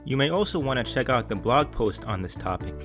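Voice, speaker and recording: A man presenting a scripted software tutorial, explaining in a clear, orderly way. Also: mid-range voice, expressive, a little fast, soft background music. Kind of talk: scripted instructional narration.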